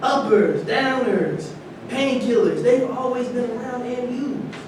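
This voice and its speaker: A man's voice: animated, slow pace, full character voice. A man acting in a staged reading as a matter-of-fact ex-athlete in conversational dialogue. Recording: echoey room from far off, rumbling noise.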